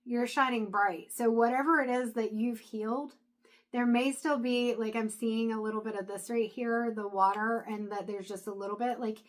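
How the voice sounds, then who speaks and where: A woman's voice: fairly high pitch, fairly even intonation, normal speed, low-quality recording. Chatty woman losing her voice. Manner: chatty, thinks aloud, frequent fillers and restarts. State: losing her voice, throat clearing. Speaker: tarot reader. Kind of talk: casual intuitive tarot reading to camera.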